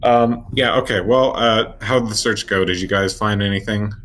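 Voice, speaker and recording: A man's voice: fairly low pitch, normal speed, fairly bright tone, a little hiss or hum. A man playing a tabletop roleplay game, chatting casually in character.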